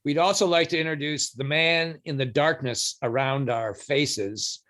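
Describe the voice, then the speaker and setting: A man hosting a podcast, giving a playful, measured introduction of his guest. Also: fairly high pitch, fairly even intonation, fairly bright tone. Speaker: podcast host.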